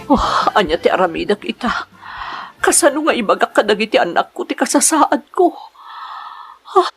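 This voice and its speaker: A woman's voice: on the high side, big pitch swings, moderate pace, slightly rough, faint music behind, heavily acted. A woman with an Ilocano accent playing a distressed, ashamed pregnant mother in a melodramatic drama, speaking hesitantly and plaintively. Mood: anxious. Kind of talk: amateur melodramatic drama acting.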